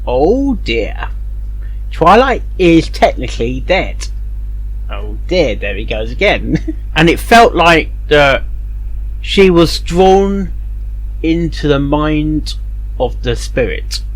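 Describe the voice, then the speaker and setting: A man with a fairly high voice reacts casually to a story. His intonation is very animated, and he talks haltingly, like a host thinking aloud. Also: rough recording.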